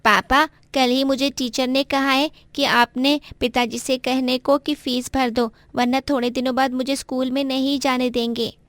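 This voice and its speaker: A woman's voice: sits high, level tone, unhurried, few pauses, a bit bright, no echo. A woman voicing a small, eager, polite schoolboy son in a cartoon story. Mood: worried.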